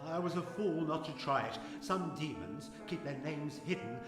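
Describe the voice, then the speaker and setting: Regretful occultist, male actor: earnest radio drama delivery, slightly high pitch, music behind in an echoey room. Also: expressive, moderate pace, natural voice. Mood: defensive, regretful. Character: earnest, defensive occultist who summoned a spirit, now regretful. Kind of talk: live radio drama acting.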